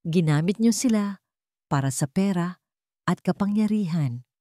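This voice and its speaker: Grave, wounded rich young husband in audio drama read by a woman, measured and dry-sounding. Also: on the low side, animated, dark tone, dry recording. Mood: grave, accusing.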